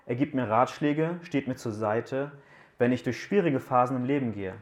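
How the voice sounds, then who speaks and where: A man's voice: medium pitch, normal speed. Man in his thirties preaching to youth. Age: 30s. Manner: casual, joking, asks the audience questions. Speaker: youth speaker at a camp. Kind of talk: sermon talk to young people. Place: room with a live audience.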